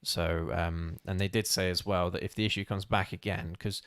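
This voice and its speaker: A man's voice: low-pitched, fairly even intonation, normal speed, a bit bright, smooth, no room sound, studio quality. A man co-hosting a casual live-stream chat, often restarting his sentences and laughing. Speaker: co-host of a motorcycling channel.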